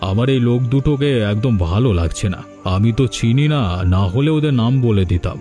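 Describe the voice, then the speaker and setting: A man's voice: medium pitch, expressive, fairly quick, dark tone, faint music behind, natural voice. A man voicing a mysterious, helpful stranger in a folk-tale cartoon, polite, earnest and reassuring.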